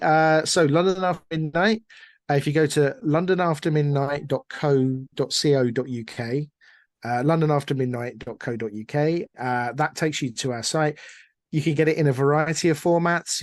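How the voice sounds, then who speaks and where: A man's voice: a little high, dry recording, clean studio sound. A middle-aged man talking casually as an interview guest, chatty and digressive, with a self-deprecating way of speaking. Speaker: interview guest, audio drama producer.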